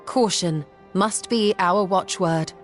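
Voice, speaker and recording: A woman's voice: medium pitch, slow pace, natural voice. A woman playing a composed, cautious, learned woman in a game cutscene, speaking formally and with measure. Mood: grave, thoughtful. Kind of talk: video game cutscene acting. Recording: music behind.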